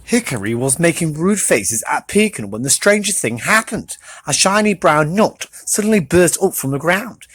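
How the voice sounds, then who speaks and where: A storybook reader narrating for children in an animated style. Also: mid-range voice, normal speed, hardly any pauses, slightly rough, no room sound.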